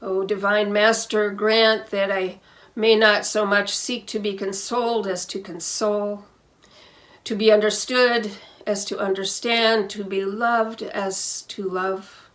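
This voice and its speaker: A woman minister leading a guided prayer, measured and deliberate, pausing between phrases, with music behind her. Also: medium pitch, level tone, fairly bright tone. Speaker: minister leading a church service. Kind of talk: slow guided prayer and benediction.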